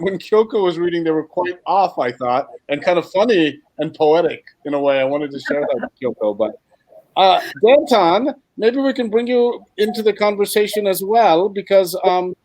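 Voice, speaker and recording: A man's voice: high-pitched, dry recording, rough recording. A man hosting an online writers' discussion over a video call, talking hesitantly with many fillers. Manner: hesitant, warm-toned with many fillers and restarts. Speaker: host. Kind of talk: casual moderated video call.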